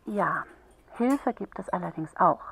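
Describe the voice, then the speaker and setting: A woman playing a kind, patient helpline counsellor for children in a radio play, gently explaining and encouraging. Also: fairly low pitch, lively intonation, unhurried, vocal fry, husky, exaggerated voice, low-quality recording. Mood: gentle, encouraging.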